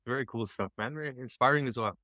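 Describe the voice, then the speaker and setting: Measured man with "uh" fillers. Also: mid-range voice, sharp tone, no echo, studio quality. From Canada. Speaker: guest, property developer. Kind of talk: casual video chat.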